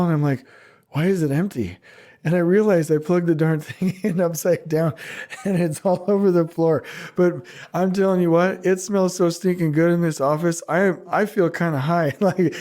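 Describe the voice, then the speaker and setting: A man's voice: a little high, a little mellow. Giddy rambling male podcast host. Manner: giddy, rambling, laughs often. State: voice just recovered. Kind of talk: casual solo podcast talk. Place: small backyard recording shed.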